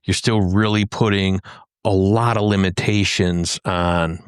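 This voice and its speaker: A man's voice: low-pitched, fairly even intonation, unhurried, clear, resonant tone, dry recording, clean studio sound. A man talking as a podcast panelist in a casual, explanatory way, often tagging his points with a questioning check. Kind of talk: casual technical discussion.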